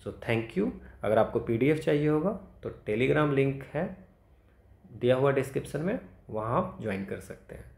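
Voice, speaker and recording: A man's voice: mid-range voice, animated, moderate pace, vocal fry, a little mellow, roomy sound. A man tutoring math, solving exam problems aloud, thinking through steps and correcting himself.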